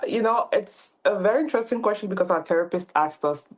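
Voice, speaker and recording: A woman's voice: mid-range voice, quick pace. A woman from Cameroon in her thirties, a podcast guest talking casually with many fillers and restarts. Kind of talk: casual interview talk.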